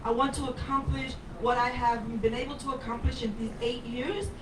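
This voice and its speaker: An older woman from Puerto Rico speaks as a mayoral candidate, emphatic and wandering through personal stories. Her voice sits high. She is picked up from a distance in an echoey bar, with clear crowd noise underneath. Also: steady tone, normal speed. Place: bar with a live audience. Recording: roomy sound from off the mic.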